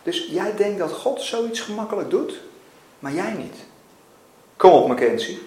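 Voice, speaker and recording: Casting: male reader as a probing, insistent God in a dramatic novel reading, higher-pitched male voice, roomy echo. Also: lively intonation, moderate pace, darkish tone. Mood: insistent, challenging. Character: God, stern insistent questioner, softening to quiet tenderness. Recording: echoey room with faint noise.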